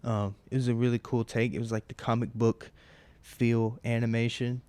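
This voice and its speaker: A man's voice: medium pitch, little pitch movement, normal speed, darkish tone, smooth. A man in his twenties hosting a casual solo podcast from home, rambling and profane, full of fillers and restarts. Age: 20s. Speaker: podcast host. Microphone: close mic at home.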